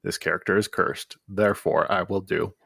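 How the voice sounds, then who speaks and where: A man with a low, creaky voice full of fry, talking casually as a podcast co-host. The sound is dry and close. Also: fairly even intonation, normal speed, fairly bright tone, dry recording. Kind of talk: casual chat about games.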